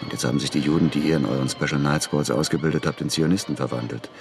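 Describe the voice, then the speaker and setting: Blunt war veteran, crime radio play. Very deep bass, brisk, dry, probing delivery. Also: male voice, steady tone, creaky, music in the background. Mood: sceptical. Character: tough, sceptical jobless American war veteran. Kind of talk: German radio crime drama acting.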